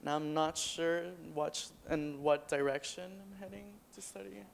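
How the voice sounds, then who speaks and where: Shy guy from colombia, talks really slow, faint noise in the room. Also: a little high, vocal fry. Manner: shy, hesitant. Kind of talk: self-introduction at orientation.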